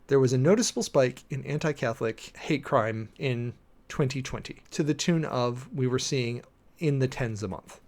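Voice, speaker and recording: An American man giving informal live commentary in an emphatic way, with dramatic stresses and deliberate pauses. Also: medium pitch. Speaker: preacher and livestream commentator.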